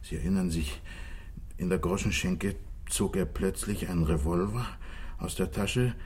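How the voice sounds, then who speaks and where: Contrite man on the run: deep, mellow-dark register, earnest and pleading, 1960s radio drama. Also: measured pace, a little hiss or hum. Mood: anguished, confessing. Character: contrite, desperate wealthy fugitive confessing, husband and father. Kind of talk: 1960s German radio play acting.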